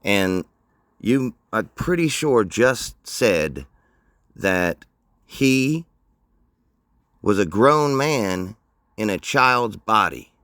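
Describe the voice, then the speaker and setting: A man's voice: fairly low pitch, expressive, drawn-out words, pauses often, a bit bright, no room sound. A man hosting a commentary show, mocking the clips he plays with dry sarcasm. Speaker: commentary host.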